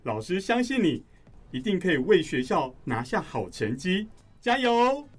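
Man acting an encouraging male schoolteacher, fairly high. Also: very animated, unhurried, faint music behind, full character voice. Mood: cheerful, encouraging. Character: encouraging, cheerful male schoolteacher addressing his class. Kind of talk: radio drama acting.

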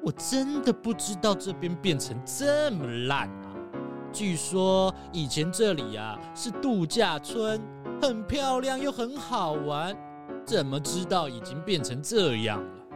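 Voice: mid-range voice, very animated, a little slow, music in the background. A voice actor playing an irritable, self-centred young fox guide who argues and complains in a children's audio drama. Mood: indignant complaining. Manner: indignant, argumentative, complaining.

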